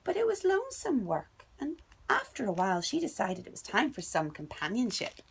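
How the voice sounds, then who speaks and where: A woman's voice: fairly high pitch, huge pitch swings, moderate pace, vocal fry, slightly rough. A woman reading a picture book aloud to her little son at home, playful and sing-song. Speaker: parent reading to a child.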